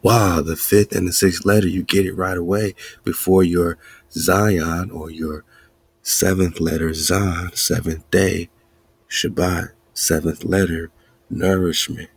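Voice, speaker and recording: A man's voice: low voice, flat delivery, slow, slightly rough, no echo. A man hosting a podcast, giving a spiritual teaching talk in a preachy way, repeating and chanting lists and laughing between points. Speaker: podcast host.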